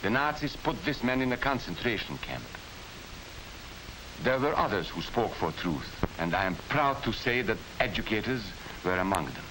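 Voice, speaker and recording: Casting: earnest 1940s propaganda narrator, slightly low voice, lively emphatic delivery, old film soundtrack. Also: male voice, normal speed, noisy background. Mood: grave, informative. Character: earnest Hungarian American man recounting Nazi Germany. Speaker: narrator of a dramatized educational film.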